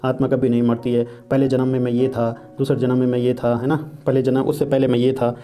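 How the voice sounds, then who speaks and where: A man teaching, reading English lines slowly and explaining each point in Hindi, often asking and answering his own questions. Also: mid-range voice, flat delivery, warm tone, faint music behind. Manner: reads English quotes slowly and deliberately.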